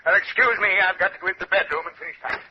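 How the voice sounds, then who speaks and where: An actor playing a crusty, suspicious older man, gruff and jittery, in vintage radio drama style. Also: sits high, very fast, natural voice. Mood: jittery, curt. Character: crusty, irritable, suspicious older male traveler under threat. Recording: muffled, noisy old radio recording.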